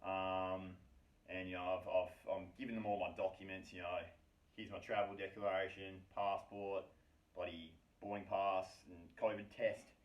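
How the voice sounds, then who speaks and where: A man's voice: low-pitched, little pitch movement, moderate pace, muffled recording. A man tells a personal story in loose, slangy language full of swearing. His delivery is wry and self-mocking. The room is echoey and he sounds some way from the microphone. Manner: heavy casual swearing, slangy. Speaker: storyteller, host of his own story series. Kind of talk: casual first-person storytelling. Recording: echoey room, distant from mic.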